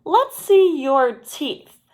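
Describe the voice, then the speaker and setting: A woman's voice: sits high, very animated, very slow, a little mellow, voice pushed up, exaggerated voice. A woman voicing a bold, crafty female turkey schemer in a children's storybook. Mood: flattering, scheming.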